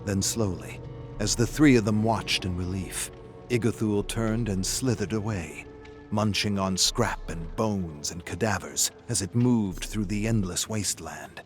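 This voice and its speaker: A man's voice: fairly low pitch, a little slow, soft background music. A man reading scripted horror fiction as a narrator, in a dramatic, suspenseful style.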